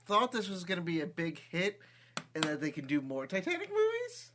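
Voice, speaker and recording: A man co-hosting a comedy podcast who drops in dry questions. His voice sits high for a man and swings around a lot. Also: sing-song, a little fast, fairly bright tone, slight background noise. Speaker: podcast co-host. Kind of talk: casual comedic chat.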